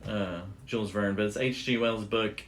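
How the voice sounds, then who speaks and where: A man's voice: fairly low pitch, fairly even intonation, moderate pace, a bit bright. A man hosting a podcast, telling a story in a casual, informal and loosely phrased way. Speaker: podcast host.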